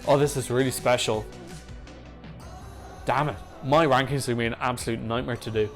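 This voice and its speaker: A man giving casual, excitable reaction commentary on songs, swearing freely as he chats. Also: mid-range voice, brisk. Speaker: Eurovision reaction YouTuber. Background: faint music playing.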